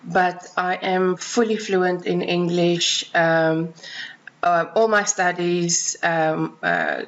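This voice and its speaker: A South African woman, a native Afrikaans speaker, calmly describing her freelance writing and editing work, with frequent ums and restarts. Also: on the low side, lo-fi sound. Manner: measured, many ums and restarts. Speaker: freelance writer and editor. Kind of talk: self-introduction to clients.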